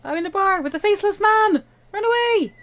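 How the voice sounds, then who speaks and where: Woman playing an indignant high-pitched teenage student girl. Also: expressive, a little fast, a bit bright, heavily acted. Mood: panicked. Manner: flustered, dramatic.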